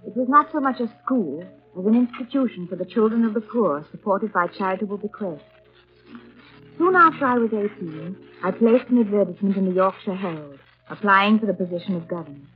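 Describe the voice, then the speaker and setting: An actress playing a grave, reserved young woman, narrating her life in measured 1930s radio drama style. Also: medium pitch, expressive, close to an everyday voice. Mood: measured, reflective. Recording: muffled vintage 1930s radio recording. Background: music.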